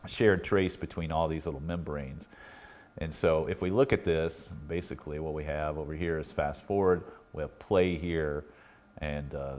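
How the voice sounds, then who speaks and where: A middle-aged American man with a notably low voice talks through a hands-on repair as a technician. His delivery is casual and folksy. He explains step by step without hurry, often pausing partway through a thought. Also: a little mellow. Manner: folksy, unhurried explaining with halting pauses. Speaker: electronics repair technician and video host. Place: indoor workbench.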